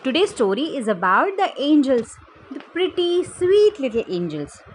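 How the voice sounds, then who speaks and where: A woman's voice: high-pitched, very animated, normal speed, slight background noise. A woman telling a children's story, sweetly encouraging and speaking straight to young listeners with little questions and asides. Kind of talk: bedtime story narration for kids.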